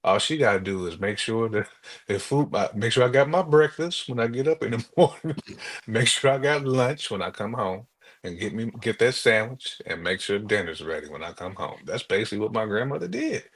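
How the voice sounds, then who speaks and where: An American man co-hosting a podcast in casual conversation, telling things in an animated, colloquial way with imagined quotes. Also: lowish voice, brisk. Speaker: podcast co-host.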